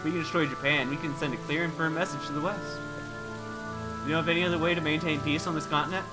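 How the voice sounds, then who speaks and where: A man's voice: fairly high pitch, steady tone, fast talker, over loud music, close to an everyday voice. A man voicing a menacing, condescending game villain who speaks in a formal, threatening manner. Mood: cold, persuasive.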